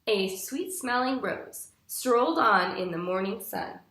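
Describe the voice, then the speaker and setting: A woman in her twenties reading a picture book aloud to young children like a teacher, with deliberate, clearly phrased, instructive storytelling. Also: medium pitch, a bit bright. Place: small living room, indoors. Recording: roomy indoor echo.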